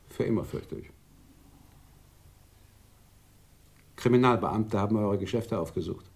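Male gang boss, radio drama, courteous, commanding, gravelly, very animated melody, measured and formal. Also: mid-range voice. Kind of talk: 1980s German radio drama acting.

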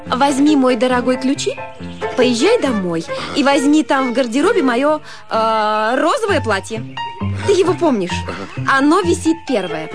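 A woman's voice: high voice, very animated, moderate pace, over music. An actress playing a flighty young wife in a radio drama, effusive and cajoling, drawing out her words theatrically. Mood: pleading, cajoling. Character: gushing, flighty, coaxing young wife at a summer cottage.

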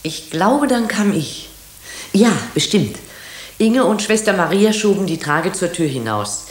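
An actress playing a careful hospital doctor who recalls events candidly and thoughtfully in a radio drama. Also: female voice, medium pitch, animated, measured pace, natural voice. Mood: candid, recollecting. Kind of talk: German radio drama acting. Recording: roomy, echoing radio drama studio.

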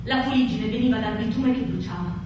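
A woman's voice: a little high, brisk, a little mellow, lightly acted. Woman acting a fireman's devoted young wife, echoey and distant. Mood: hushed narrating. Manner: insistent, repetitive pleading. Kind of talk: intense stage-like radio drama acting. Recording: echoey room from far off, faint noise.

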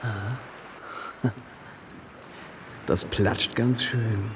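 A man playing a gruff, practical workman in a radio play, muttering and halting as he speaks. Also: fairly low pitch, steady tone. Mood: matter-of-fact. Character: gruff, practical male demolition worker absorbed in work. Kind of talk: naturalistic radio play acting. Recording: noisy outdoor ambience with birdsong.

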